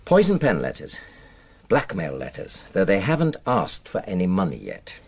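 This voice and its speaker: A man's voice: deep voice, wildly swooping pitch, normal speed, slightly rough, exaggerated voice. An actor playing a defensive, indignant middle-aged English husband and father in a naturalistic radio drama, speaking in an emphatic, clipped, self-justifying way.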